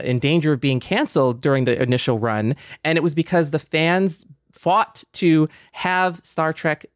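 A man's voice: a little high, no echo, studio quality. A man hosting a podcast, talking enthusiastically with lots of fillers. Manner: enthusiastic, many fillers like "like" and "you know". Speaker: podcast host. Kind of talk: casual conversation.

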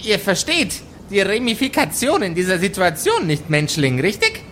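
A woman voicing an excitable goblin in a tabletop audio play, urgent and grandiose as it proclaims a prophecy of peace. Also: mid-range voice, moderate pace, slight background noise. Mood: solemn, lofty.

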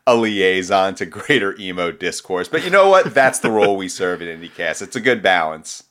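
Male co-host, very low smooth voice, wry, laughs often. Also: expressive, normal speed, a bit bright. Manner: wry, laughing, filler-heavy. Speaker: podcast co-host. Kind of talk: casual chat.

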